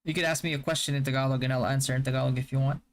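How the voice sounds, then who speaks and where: A young man from the Philippines whose first language is Hiligaynon chats with his viewers at a middling pitch. His delivery stays fairly flat and even, easygoing and stop-start, with plenty of restarts as he answers their questions. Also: very fast. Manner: relaxed, stop-start, with frequent fillers and restarts. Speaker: game streamer. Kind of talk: casual live-stream chat answering viewers.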